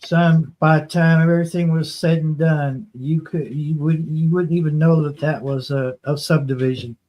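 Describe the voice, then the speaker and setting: A man's voice: on the high side, fairly even intonation, normal speed, dull audio. A man from Ohio telling stories casually, in a rambling, folksy way, circling back over his phrases. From Ohio, United States. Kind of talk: casual anecdotal storytelling.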